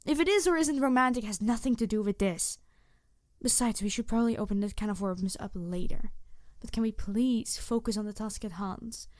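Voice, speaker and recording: A woman's voice: mid-range voice, moderate pace, no echo, clean studio sound, lightly acted. A woman playing a snarky, embittered, idealistic supervillain in an intense audio roleplay monologue addressed to the listener. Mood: skeptical, conflicted. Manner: snarky, intense, sighing, shifting from emphatic to hushed. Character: snarky, embittered, idealistic female supervillain confronting her nemesis. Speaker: voice actor.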